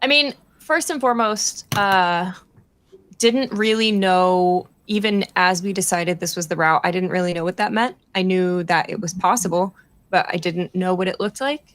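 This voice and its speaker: A woman's voice: lowish voice, moderate pace, fairly bright tone, no echo. A woman reflecting casually and a little hesitantly on a creative process, as a theater director.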